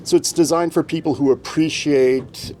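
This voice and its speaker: A man giving a measured, articulate product presentation as a company representative at a trade show. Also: a little high, steady tone, slight background noise. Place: indoor exhibit room at audio show. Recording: music playing in a show room. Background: loud music.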